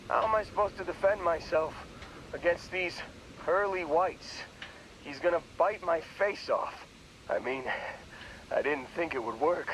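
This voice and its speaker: An actor playing a groggy young space miner in a video game, talking in a wry, rambling, hesitant way. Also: male voice, mid-range voice, fairly bright tone, slight background noise, poor audio. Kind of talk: video game character dialogue.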